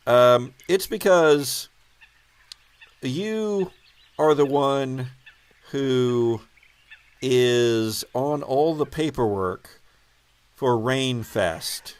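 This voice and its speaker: A man with a mid-pitched voice runs a tabletop roleplaying game. He speaks slowly and deliberately, often halting as he improvises the narration. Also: clear, resonant tone. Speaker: game master.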